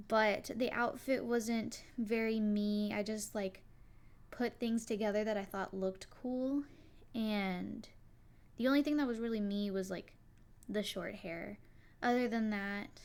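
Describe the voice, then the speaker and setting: A young woman with a soft, quiet voice, casually talking to her viewers about her character design, rambling a little with fillers and restarts. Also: mid-range voice. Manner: rambling, many likes and restarts. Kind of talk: casual solo talk to viewers.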